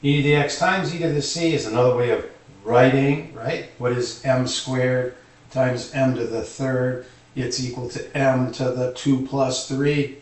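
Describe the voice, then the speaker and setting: A man's voice: mid-range voice, fairly even intonation, a little slow. A man teaching calculus informally at a board, thinking aloud as he explains each step. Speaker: math teacher. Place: indoor room, at a board. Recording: echoey room, distant microphone.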